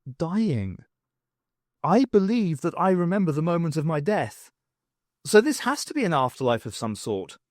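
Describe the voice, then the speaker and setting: A man's voice: a little high, big pitch swings, moderate pace, no room sound, clean studio sound. Man voicing an intense, troubled woman adventurer.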